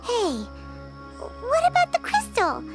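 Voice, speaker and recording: A woman voicing a tiny, chirpy, childlike floating fairy companion who exclaims excitedly in a video game. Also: very high voice, slow pace, loud music behind the voice, exaggerated voice. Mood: curious, eager. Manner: chirpy, exclamatory, speaks of self in third person.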